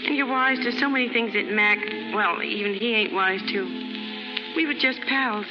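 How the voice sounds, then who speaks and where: A woman's voice: fairly high pitch, moderate pace, over music, natural voice. An actress playing a naive, lovelorn young chorus girl in a 1930s radio drama, plaintive and slangy, on a muffled old recording. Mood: wistful.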